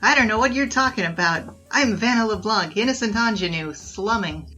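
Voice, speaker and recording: A woman's voice: medium pitch, moderate pace, pushed and bright, natural voice, rough recording. A woman playing a brash, boastful young bandit king who tells wisecracking, slangy stories in a 1920s noir comedy audio drama, with music behind. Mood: bluffing. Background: background music.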